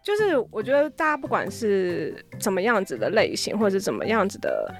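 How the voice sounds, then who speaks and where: A woman's voice: medium pitch, huge pitch swings, a little fast, faint music behind. A woman with a Taiwanese accent chatting casually as an interview guest, rambling and circling back over her phrases. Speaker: podcast guest, audio drama app founder.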